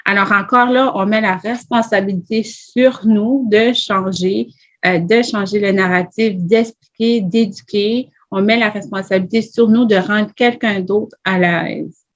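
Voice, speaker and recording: A woman's voice: medium pitch, fairly even intonation, unhurried. A woman, a podcast guest speaking earnestly in a panel discussion. She builds her points one after another and presses with questions. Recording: dull lo-fi audio.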